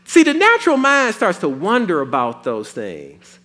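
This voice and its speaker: Bright, lively-voiced male preacher. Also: sits high, normal speed. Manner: folksy, animated preaching with playful asides. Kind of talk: sermon.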